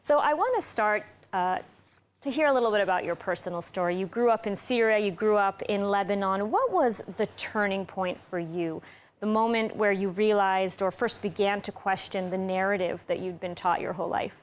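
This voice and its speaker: A woman's voice: mid-range voice, normal speed. A woman hosting an interview series, giving a polished scripted introduction and asking questions. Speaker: host.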